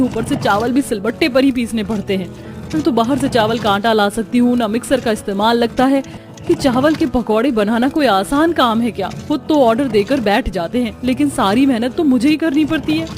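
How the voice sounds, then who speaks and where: A woman's voice: on the high side, normal speed, over music, close to an everyday voice. A woman voicing an aggrieved, put-upon young daughter-in-law in a cartoon story. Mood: fed up. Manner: aggrieved, complaining.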